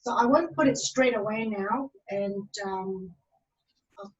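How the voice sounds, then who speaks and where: A woman from Malaysia, giving a casual cooking demonstration in a halting way, full of fillers and restarts. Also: medium pitch, dull audio.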